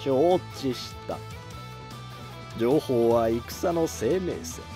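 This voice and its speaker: A man's voice: mid-range voice, over music. A man voicing a composed, taunting, bearded veteran general in a historical drama, with theatrical, commanding delivery. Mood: confident, commanding. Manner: slow, weighty, theatrical delivery.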